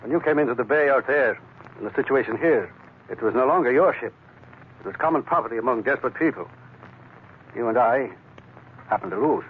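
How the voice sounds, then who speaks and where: An actor playing a cynical, crooked island doctor with an eloquent, sardonic, mock-genteel way of talking in 1940s radio style. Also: male voice, medium pitch, expressive, brisk, long pauses, close to an everyday voice. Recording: tinny, noisy vintage radio recording.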